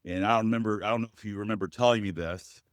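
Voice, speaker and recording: A man with a deep, loud voice, speaking as a guest in an interview in an earnest, measured way and often addressing the host by name. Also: fairly even intonation, no room sound, studio quality. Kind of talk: interview conversation.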